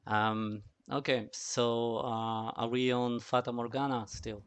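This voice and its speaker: A man's voice: mid-range voice, flat delivery, slow pace, smooth. A man hosting a casual podcast discussion, putting questions and chatting informally. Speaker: podcast host.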